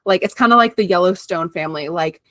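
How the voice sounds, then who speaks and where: A woman hosting a casual conversation, chiming in with quick agreement and thoughts that trail off. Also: on the low side, a bit bright, no echo.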